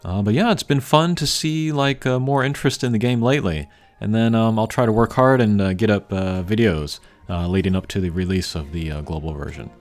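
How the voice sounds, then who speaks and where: A man giving casual, unscripted gameplay commentary as a channel host, thinking aloud in a measured way. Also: fairly low pitch. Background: game music.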